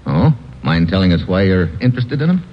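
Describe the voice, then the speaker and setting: An actor playing a tough, wisecracking investigator in an old-time radio drama, clipped and sardonic. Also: male voice, low-pitched, very animated, fairly quick. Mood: sardonic, probing. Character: tough, wisecracking male investigator narrating his case. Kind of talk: hard-boiled old-time radio narration and acting. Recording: dull old radio recording.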